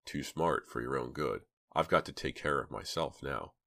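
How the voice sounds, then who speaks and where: A man voicing a big, cold, menacing male killer in a storybook reading, speaking low and slow. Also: expressive, creaky, a bit bright, no echo, studio quality, exaggerated voice.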